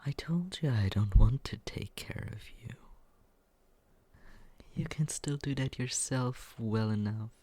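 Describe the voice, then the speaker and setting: A young man voicing a smug young man who teases and quietly looks after the listener, in a close, soft, whispered roleplay. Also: mid-range voice, slow, slightly rough. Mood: teasing. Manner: teasing, condescending, soft whispering. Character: smug teasing young man, mockingly caring. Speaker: ASMR roleplay performer.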